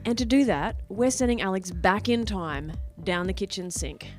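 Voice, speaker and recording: A woman's voice: medium pitch, animated, moderate pace, faint music behind. An Australian woman co-hosting a podcast, reading a scripted introduction in a conversational way. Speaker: podcast co-host, performer.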